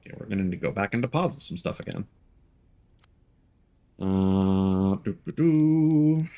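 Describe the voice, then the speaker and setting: A man's voice: on the low side, animated, measured pace, dark tone, clean tone. A man giving casual gameplay commentary as a streamer, muttering to himself and reading in-game notes aloud.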